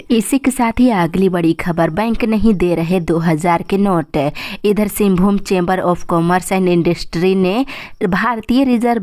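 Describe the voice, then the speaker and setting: Female news presenter, Indian accent, lower-pitched voice, formal scripted headline delivery, very dry close sound. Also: darkish tone, dry recording.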